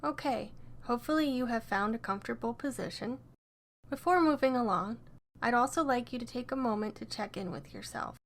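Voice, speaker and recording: A woman narrating a scripted guided meditation in a gentle, soothing and encouraging way. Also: a little high.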